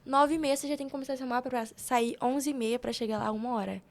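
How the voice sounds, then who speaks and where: A woman's voice: sits high, steady tone, fast talker. A young woman with a Brazilian Portuguese accent, speaking casually and emphatically as a podcast guest, with slangy repetition. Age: young adult. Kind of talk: casual, chatty interview answers.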